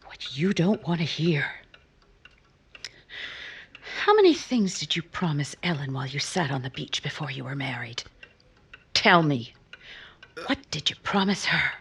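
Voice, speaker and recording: Woman acting a breathy, wistful wife in radio romance. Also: low-pitched, big pitch swings, normal speed, fairly bright tone, full character voice. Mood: quietly bitter. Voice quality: breathy, husky, often half-whispered. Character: disillusioned married woman, wistful, bruised, romantic, gently challenging. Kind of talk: 1950s radio drama acting.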